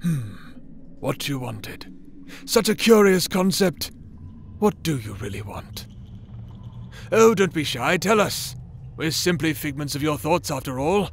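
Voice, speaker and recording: A man's voice: fairly high pitch, expressive, measured pace, creaky, long pauses, slight background noise. A man playing a probing, taunting figment of someone's thoughts in an audio drama, speaking formally with archaic phrasing. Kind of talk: audio roleplay drama acting.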